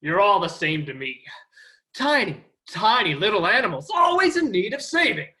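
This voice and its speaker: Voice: mid-range voice, big pitch swings, normal speed, pushed and bright. An actor playing a vain, pompous male superhero who admires his own looks. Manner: pompous, preening.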